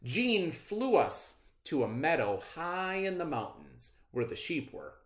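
A middle-aged man teaching a read-aloud reading lesson to children in a deliberate, expressive, explanatory way. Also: on the high side.

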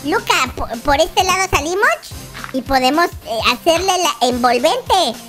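A performer voicing an excitable cartoon baby adventurer with a squeaky childlike voice, playing through a Minecraft roleplay for children. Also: a little slow, music in the background. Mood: scheming. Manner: excitable, repeats phrases. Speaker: host of a children's gaming channel.

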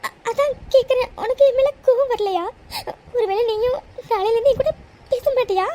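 An actress playing a young orphaned woman who talks through tears, halting and repeating her words. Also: extremely high pitch, noisy background. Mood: tearful. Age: young adult. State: crying. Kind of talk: romantic drama acting.

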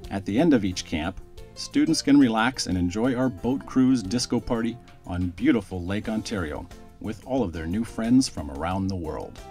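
Low-voiced male promo narrator. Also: lively intonation, moderate pace, a little mellow, faint music behind. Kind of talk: scripted voice-over narration.

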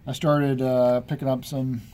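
A man with a mellow, dark-toned voice of medium pitch casually runs through items in a terse, plain way. It is a homemade phone recording made indoors, with noticeable noise in the background. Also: level tone, measured pace. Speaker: podcast co-host showing collection pickups. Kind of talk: casual chat, listing items shown. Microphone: handheld phone camera mic.

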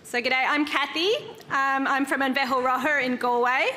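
Aussie woman presenting, high bright voice, friendly, brisk lists. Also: steady tone. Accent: Australian. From Queensland, Australia. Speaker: conference speaker. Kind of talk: presentation talk.

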